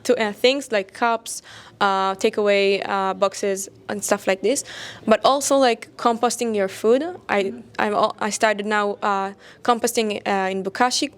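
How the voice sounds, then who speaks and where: A woman's voice: medium pitch, steady tone, unhurried, clear, resonant tone. A young woman from Israel, a design student answering with many fillers and restarts. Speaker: design graduate student, hackathon winner. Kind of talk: interview answers.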